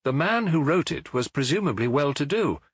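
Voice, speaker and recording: Man playing a modest, husky British gentleman doctor. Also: on the high side, expressive, moderate pace, no echo, voice pushed up. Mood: puzzled. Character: modest, puzzled Victorian gentleman doctor, loyal companion. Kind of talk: audiobook character voice.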